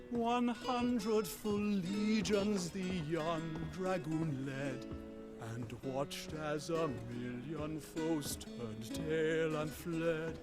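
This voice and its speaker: An actor playing a tavern bard, singing a rousing heroic ballad in a game cutscene. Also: male voice, a little high. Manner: sung ballad with lute. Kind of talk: sung ballad.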